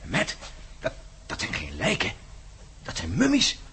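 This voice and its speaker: Nervous, bright-voiced companion, very animated. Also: male voice, deep voice, measured pace, breathy edge, full character voice. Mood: shocked, hushed. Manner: agitated, stammering in alarm. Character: nervous, cautious male companion, easily appalled. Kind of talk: Dutch radio play acting.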